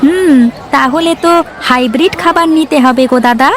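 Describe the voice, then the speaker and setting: A woman's voice: sits high, moderate pace, a faint crowd, lightly acted. A woman voicing a sly, greedy male village shopkeeper in a cartoon, in a wheedling manner. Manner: wheedling, chuckling. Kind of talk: Bangla cartoon voice acting.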